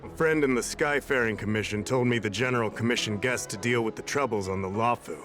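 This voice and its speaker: A man's voice: medium pitch, fast, fairly bright tone, faint music behind, close to an everyday voice. A man voicing a polite, earnest official in a video game. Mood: courteous, earnest.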